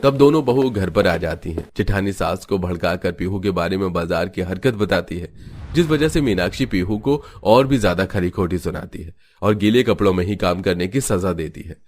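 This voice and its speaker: A man's voice: sits low, moderate pace, a little mellow, slight background noise. A man narrating a scripted cartoon family story.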